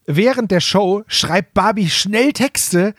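A man's voice: sits high, takes it slowly, dry recording, clean studio sound. A man co-hosting a casual comedy podcast, emphatic and mock-indignant, repeating himself for effect. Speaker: podcast co-host.

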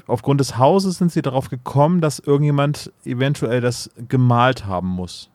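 A man's voice: mid-range voice, normal speed, darkish tone, no echo. A man co-hosting a podcast, chatting casually about a story with joking interjections. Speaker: podcast co-host. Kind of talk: casual chat and commentary.

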